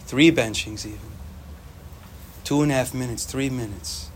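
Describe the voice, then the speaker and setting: A young man whose first language is Yiddish, giving an informal, animated Torah talk to students and repeating phrases for emphasis. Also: medium pitch, moderate pace, a little hiss or hum. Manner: animated, repetitive, sprinkles Hebrew and Yiddish terms.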